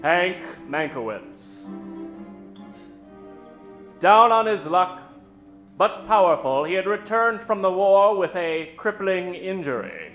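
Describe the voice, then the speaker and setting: A man's voice: high voice, expressive, a bit bright. Theatrical male radio host over music. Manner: dramatic, drawn-out storytelling delivery. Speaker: host and announcer. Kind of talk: theatrical old-time radio show introduction before a live audience. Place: stage before a live studio audience. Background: musical accompaniment.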